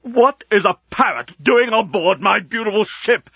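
High-strained, histrionic old genius. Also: male voice, moderate pace, fairly bright tone, breathy edge, dry recording, muffled recording, heavily acted. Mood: furious, outraged. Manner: histrionic, emphatic. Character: elderly male genius designer, vain, outraged, melodramatic.

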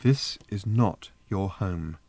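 A man voicing a calm, earnest mother in a story, quietly and persistently pleading with her daughter. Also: medium pitch, normal speed, dry recording, studio quality, lightly acted. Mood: gentle pleading.

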